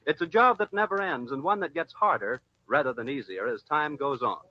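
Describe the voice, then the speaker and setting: Formal American radio announcer, vintage broadcast sound. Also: male voice, fairly high pitch, animated, fairly quick, muffled recording. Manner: formal, polished delivery. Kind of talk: 1940s radio announcing, scripted sponsor narration.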